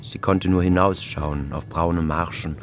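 A man narrating a literary radio drama in a measured, descriptive storytelling manner. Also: sits low, steady tone, slight background noise. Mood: somber. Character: sober male narrator of a city novel. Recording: music behind the voice.

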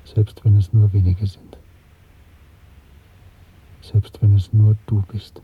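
An actor playing an earnest party clerk with secret rebellion in him, speaking in a measured, insistent way in a radio drama. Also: male voice, on the low side, level tone, slight background noise. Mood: bitter, urgent. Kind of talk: German radio drama acting. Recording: muffled, dry 1970s radio drama recording.

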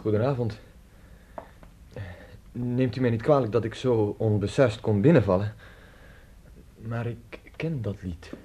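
A man's voice: lowish voice, measured pace, long pauses, dark tone, muffled recording, a little hiss or hum, close to an everyday voice. An actor playing a well-to-do, curious male traveller in a Dutch radio play, speaking in a formal, wordy, commanding way. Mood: polite, brisk.

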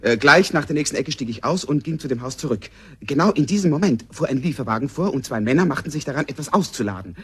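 An impetuous, worried gentleman in a radio drama. He talks very quickly in a medium-pitched, slightly rough male voice, earnest and urgent. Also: animated. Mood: breathless urgency. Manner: urgent, earnest, hot-headed. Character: anxious, impetuous gentleman desperate to help. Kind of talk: German radio drama acting.

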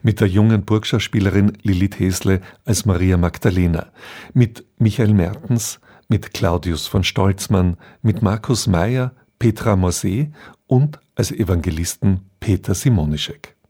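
A man reading a scripted radio announcement in a dark, husky voice. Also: low voice, a little slow.

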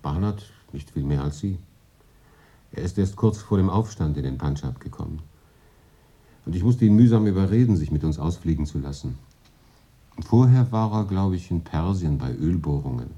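A man's voice: low voice, expressive, moderate pace, dark tone. An actor in his late thirties playing a cool, urbane English consul in a German radio drama, thoughtful, dry and understated. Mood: guarded. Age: late 30s.

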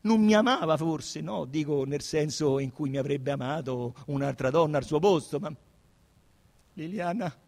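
A man playing an agitated young male murder suspect on stage, pleading and confessing in halting, insistent, self-justifying bursts. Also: a little high, creaky, darkish tone, voice pushed down. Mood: impassioned pleading. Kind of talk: theatrical dramatic monologue. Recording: reverberant hall.

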